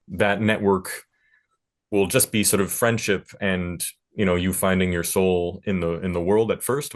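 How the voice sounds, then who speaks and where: A man with a very low-pitched voice speaks on a panel. He talks reflectively, offering advice in halting phrases with pauses between thoughts. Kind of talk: panel discussion, giving career advice.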